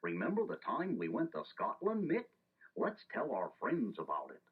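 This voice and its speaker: Dopey, wildly swooping cartoon dog. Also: male voice, fairly low pitch, huge pitch swings, husky, heavily acted. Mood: cheerful. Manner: slow, naive, bumbling. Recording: muffled 1980s story tape, faint music.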